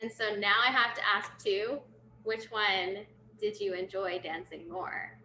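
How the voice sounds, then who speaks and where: A woman's voice: medium pitch, fairly even intonation, measured pace. A woman interviewing two dancers, enthusiastic and full of praise. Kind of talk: friendly interview questions. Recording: echoey room with faint noise.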